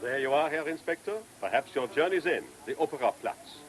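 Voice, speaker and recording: An actor playing a genial, talkative Viennese police commissioner with an Austrian German accent and formal foreign phrasing, in a radio play. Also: male voice, a little high, animated, fairly quick, faint music behind, lightly acted. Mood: genial, chatty. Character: genial, courteous Viennese police commissioner who loves food. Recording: noisy old radio drama recording.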